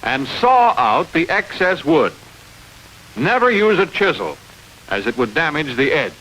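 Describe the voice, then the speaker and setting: A man reading scripted instructional narration for a training film, explaining step by step in a clear manner. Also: a little high, very animated, measured pace, sharp tone, rough recording. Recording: hissy old training film soundtrack.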